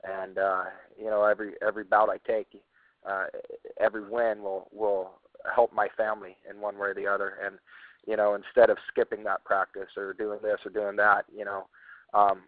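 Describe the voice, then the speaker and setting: A man's voice: lowish voice, completely flat delivery, moderate pace, no echo. A man, a professional fighter, giving an earnest, hesitant interview answer over a tinny phone line, often repeating himself.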